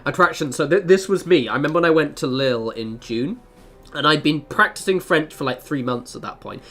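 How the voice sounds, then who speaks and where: A man's voice: a little high, brisk. Rambling, chatty young male streamer. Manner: rambling, animated storytelling with self-interruptions. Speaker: game livestreamer. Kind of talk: casual livestream chat over gameplay.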